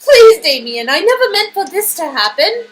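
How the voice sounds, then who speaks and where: A woman's voice: extremely high pitch, very animated, normal speed, a little hiss or hum. A woman playing a desperate, earnest young female agent, pleading and emphatic, in a story-game reading. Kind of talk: amateur dialogue reading of an interactive story game. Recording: music under the voice.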